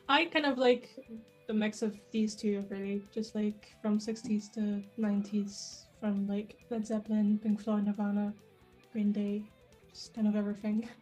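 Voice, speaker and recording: A woman in a band giving a short, shy, quiet answer in an interview. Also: medium pitch, fairly even intonation, a little slow, soft background music. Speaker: band member. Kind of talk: casual interview chat.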